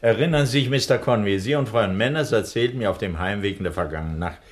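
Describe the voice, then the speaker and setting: A man playing a measured middle-aged doctor. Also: on the low side, natural voice. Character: worried middle-aged nerve doctor, a father. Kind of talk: 1960s radio drama acting.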